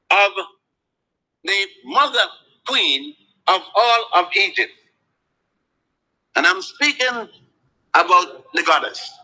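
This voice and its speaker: A man giving a spoken lecture in a deliberate, emphatic way, letting his pitch fall at the ends of phrases. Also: pushed and bright, poor audio.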